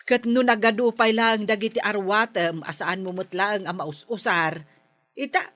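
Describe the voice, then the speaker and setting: An actress with an Ilocano accent playing a nagging, thrifty village captain's wife in a radio comedy, scolding in exasperation. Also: female voice, mid-range voice, moderate pace, fairly bright tone, no room sound, close to an everyday voice. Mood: exasperated, scolding.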